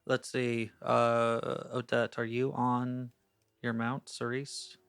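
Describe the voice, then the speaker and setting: A man's voice: mid-range voice, monotone, takes it slowly, vocal fry, a bit bright, smooth, no room sound. A man acting as game master, casually narrating moves and rules in a hesitant, apologetic, think-aloud manner.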